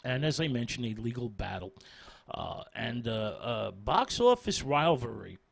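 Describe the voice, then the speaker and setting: A man hosting a radio talk on film history, half-reading, with frequent hesitations and drawn-out stress on names. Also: mid-range voice, big pitch swings, gravelly. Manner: hesitant, frequent ums and repeated words. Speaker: radio show host.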